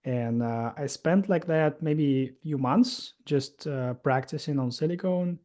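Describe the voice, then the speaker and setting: A man in his thirties from Russia with a Russian accent, telling his story as an interview guest in halting speech with many fillers and restarts. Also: a little high, darkish tone.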